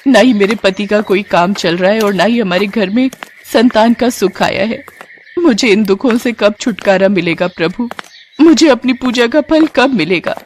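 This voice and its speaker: A woman's voice: medium pitch, moderate pace, darkish tone, slight background noise, natural voice. Meek, long-suffering young daughter-in-law, voiced by a woman: mid-range voice, humble and pleading, for a devotional tale. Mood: tearful pleading. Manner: respectful, pleading. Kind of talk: devotional story dialogue.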